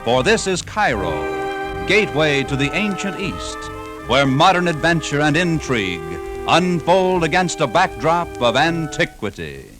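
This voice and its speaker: An actor as a dramatic announcer of a 1950s radio adventure show, delivering scripted lines in a declamatory way. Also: male voice, on the high side, big pitch swings, measured pace. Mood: grand, dramatic. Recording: old radio broadcast, loud music behind. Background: music.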